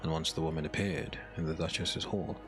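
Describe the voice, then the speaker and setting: A man narrating a scripted dark fairy tale as a storyteller, in a measured, solemn manner. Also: a bass voice, fairly even intonation, music in the background.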